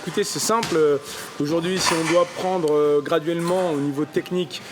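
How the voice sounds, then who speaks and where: A man's voice: a little high, fairly even intonation, moderate pace, background noise. A man in his thirties from the Paris region, a Thai boxing club co-founder giving interview answers in an explanatory way, with many fillers and restarts. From Paris region, France. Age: 30s.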